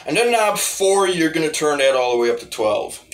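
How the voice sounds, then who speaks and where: A man giving a casual, rambling demo of music gear, halting and repeating his phrases as he goes. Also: fairly high pitch, sing-song. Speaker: hobbyist musician reviewing talk box gear.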